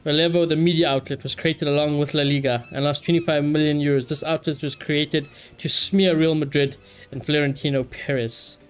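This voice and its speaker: A male streamer with a slightly high voice talks casually to his chat. He is opinionated and tends to repeat himself, and faint game music plays behind him. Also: level tone, fairly quick, clear, resonant tone. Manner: opinionated, exasperated asides, repeats phrases, mild swearing. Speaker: live streamer.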